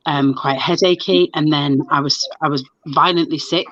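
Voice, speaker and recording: A woman's voice: sits low, fairly even intonation, normal speed, a bit bright, no room sound. A woman hosting a casual video chat, telling stories in a chatty, stop-start way with lots of restarts. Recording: dull lo-fi sound.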